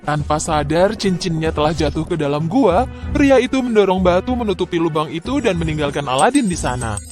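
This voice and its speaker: A man narrating a scripted children's fairy tale in an expressive, dramatic way. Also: fairly high pitch, fairly quick. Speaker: storyteller. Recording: music behind the voice.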